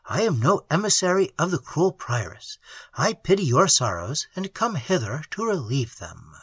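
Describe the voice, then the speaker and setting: A man voicing a gentle young nobleman who speaks slowly and soothingly to a prisoner. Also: on the high side, sing-song, husky, no room sound, heavily acted. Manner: slow, soft, soothing.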